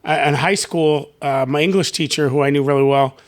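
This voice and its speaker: Halting middle-aged man, fairly high voice. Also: fairly even intonation. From Canada. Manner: halting, many fillers and restarts. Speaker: guest, basketball coach. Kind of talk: casual interview chat.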